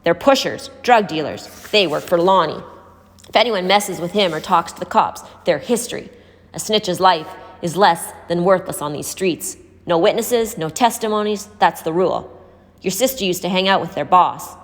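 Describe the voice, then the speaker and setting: A woman's voice: lowish voice, moderate pace, lightly acted. A woman voicing a wary, streetwise young homeless man in a story, blunt and urgent with warnings. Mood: agitated. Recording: hall-like reverb.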